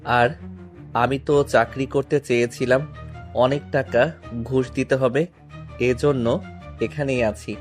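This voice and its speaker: A man's voice: mid-range voice, fairly even intonation, slow, pauses often, natural voice. Guy acting a humble young servant in an audio drama, puts himself down, music behind him. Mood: dejected, self-deprecating. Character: humble, self-deprecating young servant man, gentle and respectful.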